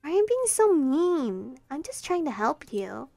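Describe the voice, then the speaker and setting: A woman's voice: high voice, big pitch swings, measured pace, gravelly, mellow, no echo, soft background music, voice pushed up, full character voice. A woman voicing a cocky teenage girl prankster who teases with mock sincerity. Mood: mock-hurt. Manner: smug, goofy, mock-sincere.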